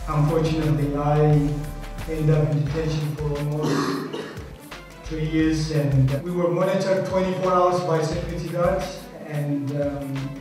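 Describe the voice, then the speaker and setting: A man from Ethiopia, telling his own life story in an interview with many pauses and fillers. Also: fairly high pitch, fairly even intonation, darkish tone. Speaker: interviewee, author. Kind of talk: personal testimony interview. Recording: roomy, distant recording with music behind.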